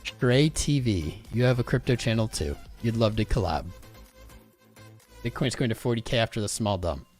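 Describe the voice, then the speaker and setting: A man's voice: on the low side, lively intonation, moderate pace, darkish tone, soft background music. Slangy man hosting a crypto livestream. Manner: rambling, slangy, talks straight to chat. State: losing his voice. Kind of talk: casual livestream chat.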